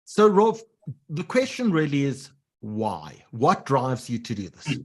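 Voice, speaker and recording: A man's voice: medium pitch, very animated, slow pace, breathy edge. A man hosting an interview, welcoming a guest and putting questions to him. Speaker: host, interviewer.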